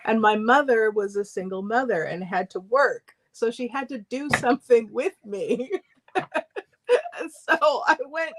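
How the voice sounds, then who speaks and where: A woman's voice: on the high side, rough recording. A woman co-hosting a casual live stream, thoughtful and wry, often laughing at herself. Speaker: co-host.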